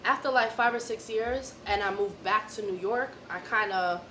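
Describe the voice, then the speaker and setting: A woman's voice: medium pitch, moderate pace, bright, forceful tone, slight background noise. American woman in an echoey room. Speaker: musician being interviewed. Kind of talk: casual interview chat.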